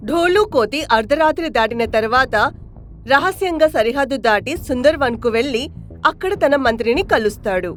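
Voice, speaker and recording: A woman's voice: high-pitched, expressive, moderate pace, soft background music. A woman reading scripted narration for a children's animal story.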